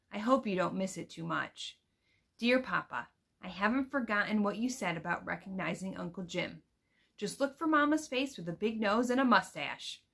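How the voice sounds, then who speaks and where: An earnest, eager young girl from a picture book tells her letters home, read out by a woman. The delivery is careful and expressive, in the manner of storybook reading. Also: mid-range voice, gravelly. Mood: affectionate.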